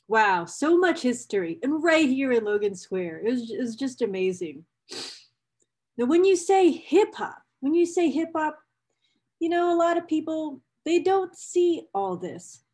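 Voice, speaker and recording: A woman's voice: on the high side, a little mellow. A woman reading a book excerpt aloud as a presenter, with casual asides to viewers, speaking enthusiastically and repeating phrases for emphasis. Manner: enthusiastic, emotionally invested.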